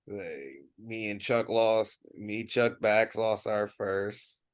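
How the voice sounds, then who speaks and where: A man's voice: fairly low pitch, slow pace, clear, resonant tone, no echo, clean studio sound. A young American man chatting casually as a podcast co-host, in a hesitant, agreeable way. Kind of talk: casual chat.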